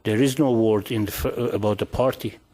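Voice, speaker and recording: A man hosting a panel discussion, talking in a halting way with many restarts and fillers. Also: on the low side, fairly even intonation, dark tone. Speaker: host.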